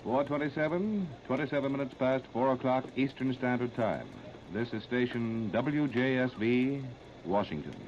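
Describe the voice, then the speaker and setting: American male station announcer, formal 1930s delivery, medium pitch, muffled archival sound. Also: expressive, moderate pace, a little mellow. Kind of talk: formal scripted station identification. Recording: muffled 1939 radio disc with hiss.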